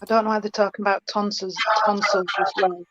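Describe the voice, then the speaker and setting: A woman giving a spiritualist mediumship reading, talking warmly and calling the listener darling and sweetheart. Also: mid-range voice, level tone, quick pace. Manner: affectionate, calls the sitter darling and sweetheart. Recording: dull lo-fi stream with faint noise.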